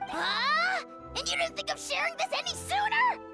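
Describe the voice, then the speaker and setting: A woman's voice: extremely high pitch, huge pitch swings, normal speed, music in the background, exaggerated voice. A woman voicing a tiny, haughty, hot-tempered dragon in a video game, scratchy, pompous and theatrical. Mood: indignant, boastful. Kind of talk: video game character acting.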